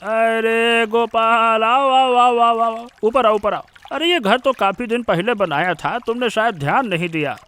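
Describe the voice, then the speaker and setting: A man's voice: very high-pitched, unhurried, hardly any pauses, pushed and bright, clear, resonant tone, heavily acted. A man voicing a contented, folksy village father in a cartoon story. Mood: hearty, welcoming. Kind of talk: Hindi cartoon story voice acting.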